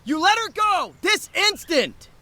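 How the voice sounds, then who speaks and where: A man's voice: very high-pitched, big pitch swings, measured pace, sharp tone, a little hiss or hum, exaggerated voice. A man playing an earnest, devoted young student in an audio drama. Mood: angry alarm. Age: young adult.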